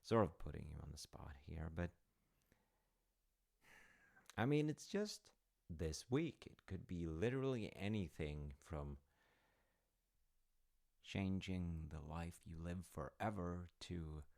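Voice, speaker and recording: Male actor as caring dad, middle-aged, deep voice, unhurried pauses, soft reassuring delivery for intimate audio roleplay. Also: animated, exaggerated voice. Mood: gently probing. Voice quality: gravelly, low. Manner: hesitant, reassuring, thoughtful with pauses. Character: gentle, attentive, slightly awkward middle-aged dad having tea. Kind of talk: soft-spoken wholesome audio roleplay addressed to listener.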